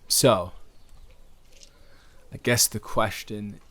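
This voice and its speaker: A man's voice: on the low side, steady tone, extremely slow, fairly bright tone, heavily acted. A man improvising an audio roleplay as a friendly, slightly awkward young stranger, talking casually and earnestly to the listener with stammered restarts. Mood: gentle, tentative.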